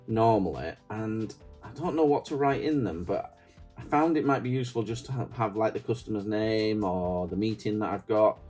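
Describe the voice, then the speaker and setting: Low, mellow Yorkshire man chatting. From Yorkshire, England. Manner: chatty, rambling, self-deprecating. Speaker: stationery vlogger. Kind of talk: casual show-and-tell.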